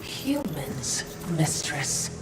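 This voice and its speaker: A woman's voice: sits low, sing-song, very slow, full character voice. A woman voicing a fawning, obsequious spirit servant in a video game, simpering and hesitant. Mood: deferential. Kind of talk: dramatic video game cutscene acting. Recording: echoing voice under loud music.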